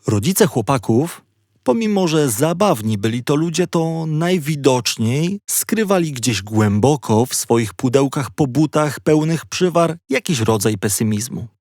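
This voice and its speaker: A man's voice: on the high side, a little mellow, dry recording, clean studio sound. A man reading a children's audiobook, telling it conversationally with playfully wry asides. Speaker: audiobook narrator. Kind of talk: scripted narration of a children's audiobook.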